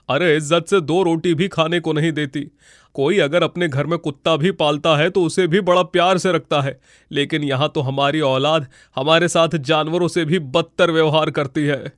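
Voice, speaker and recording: Man acting an aggrieved elderly father, fairly high voice. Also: no echo, clean studio sound, close to an everyday voice. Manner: aggrieved, lamenting. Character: humiliated, weary elderly father-in-law. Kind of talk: Hindi cartoon moral-story dialogue.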